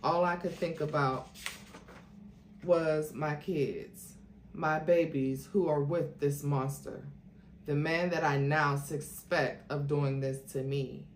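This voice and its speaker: Woman reading a book out loud all dramatic, lowish voice, bit of background noise. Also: fairly even intonation, takes it slowly. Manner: theatrical, acts out quoted dialogue. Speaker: YouTube host reading a book aloud. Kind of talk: dramatic storytelling read-aloud. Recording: roomy echo with faint noise.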